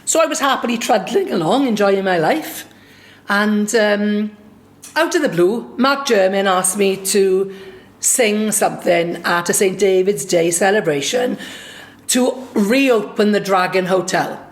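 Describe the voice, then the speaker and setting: A woman from Swansea with a Welsh accent, a singer and songwriter recounting a personal story in a lively, anecdotal way. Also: medium pitch, unhurried. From Swansea, Wales. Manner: lively anecdotal, acts out quoted dialogue.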